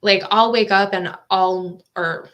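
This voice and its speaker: A woman's voice: mid-range voice, fairly even intonation, slow pace, lo-fi sound. A woman guest on an interview podcast, a coach, talking in an earnest, reflective, explanatory way. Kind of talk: casual interview chat.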